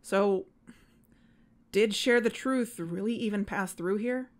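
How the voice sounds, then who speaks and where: A woman's voice: mid-range voice, takes it slowly, close to an everyday voice. A woman playing an anxious, driven female podcast investigator in an audio drama, earnest and urgent. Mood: frustrated, weary.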